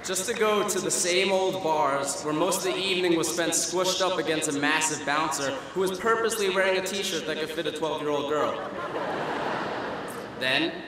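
A man's voice: on the high side, moderate pace, crowd noise, background noise. Young man giving a wry speech in a big echoing hall. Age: young adult. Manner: wry, self-deprecating, timed for laughs. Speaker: student commencement speaker. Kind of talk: humorous graduation speech. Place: large auditorium stage at a podium. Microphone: podium microphone. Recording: echoey hall through a PA system. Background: audience laughter and hall ambience.

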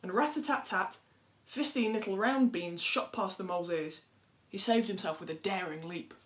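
A young woman reading a children's picture book aloud in an expressive way. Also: mid-range voice, normal speed. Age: young adult. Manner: expressive, acts out dialogue. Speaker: storyteller. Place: plain indoor room at home.

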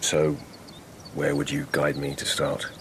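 An actor playing a grave, courteous palace lieutenant in a radio drama, measured and formal in manner. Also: male voice, extremely low pitch, completely flat delivery, gravelly, a bit bright, slight background noise, full character voice. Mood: reserved.

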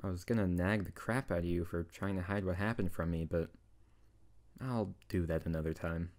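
Deep-voiced, tender, teasing young-lover wizard acted by a man. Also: moderate pace, darkish tone, lightly acted. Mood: tender, reassuring. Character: tsundere male wizard, teasing, tender best friend turned lover. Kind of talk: intimate ASMR roleplay audio drama.

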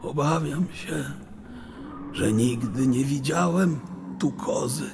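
An actor playing a kindly, despairing old sage in an audio drama, with a theatrical, grandiloquent and emotional manner. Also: male voice, mid-range voice, takes it slowly, vocal fry. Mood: sorrowful. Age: older. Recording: long reverb, faint background noise.

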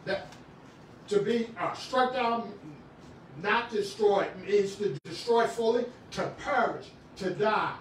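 A middle-aged man preaching a sermon in a small church, swinging from shouts to quiet talk with many restarts. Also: sits high, takes it slowly, slight background noise. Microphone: far camera. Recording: echoey room, far from the camera.

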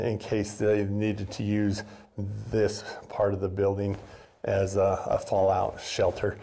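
An older man walks and talks through a boiler room, rambling in a relaxed, folksy way. He fills his talk with "uh" and "um" and restarts his sentences often, like a former custodian sharing memories on a casual tour. The sound is picked up in a basement by a camera that follows him. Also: lowish voice. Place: basement boiler room of an old school building.

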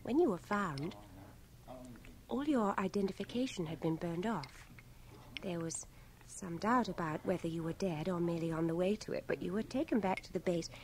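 An actress playing a tender, teasing young English volunteer nurse in a radio drama, poised and brisk. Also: female voice, lowish voice, a little mellow, lightly acted. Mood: wry, matter-of-fact. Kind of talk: 1980 radio drama acting.

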